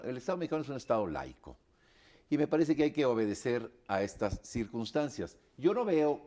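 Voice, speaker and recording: A man giving political analysis on a panel, speaking deliberately and often tagging his points with a questioning "right?". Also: mid-range voice, darkish tone. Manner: deliberate, repeats "me parece" and tags "¿no?". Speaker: panel commentator.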